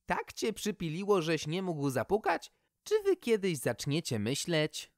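A man voicing a brisk, motherly, unflustered hostess with a melodious tone in a storybook tale. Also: on the high side, wildly swooping pitch, no echo, clean studio sound, voice pushed up, full character voice. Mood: scolding.

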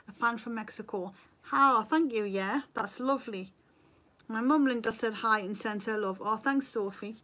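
Geordie woman in her thirties, slightly raised pitch, relaxed livestream chatter via webcam at home. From North East England. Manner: chatty, reads viewer comments aloud, laughs easily. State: a bit tired. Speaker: beauty vlogger. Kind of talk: casual livestream chat. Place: bedroom at home, indoors. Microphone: webcam at desk.

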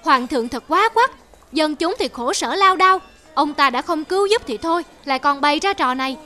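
A woman's voice: high voice, animated, fairly quick, sharp tone, soft background music. A woman voicing an aggrieved poor villager in a cartoon folktale, bitter and complaining. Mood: indignant. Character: aggrieved poor male villagers under a tyrant.

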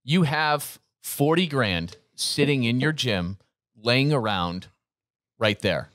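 A man's voice: mid-range voice, expressive, takes it slowly, fairly bright tone, no room sound. A man in his forties co-hosting a business podcast, earnest and motivational, repeating his points, on a studio mic in a gym. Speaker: podcast co-host. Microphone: studio mic on boom arm, headphones.

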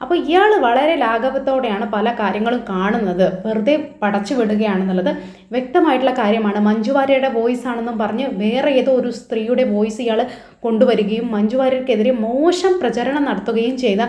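A woman's voice: a little high, brisk, darkish tone. A woman giving informal news commentary to online viewers as the host. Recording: echoey, roomy voice-over.

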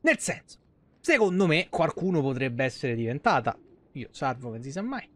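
A man's voice: medium pitch, big pitch swings, moderate pace, a bit bright, no room sound. A man, giving casual, colloquial, joking live game commentary. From Italy. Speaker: game streamer. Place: small indoor streaming room.

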